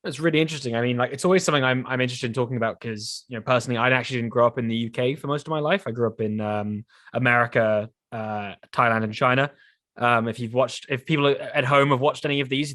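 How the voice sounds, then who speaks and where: A man's voice: medium pitch, fast, bright, forceful tone, no room sound, clean studio sound. A young man hosting a podcast, chatty and encouraging, asking questions with plenty of fillers. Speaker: podcast host. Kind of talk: casual podcast conversation.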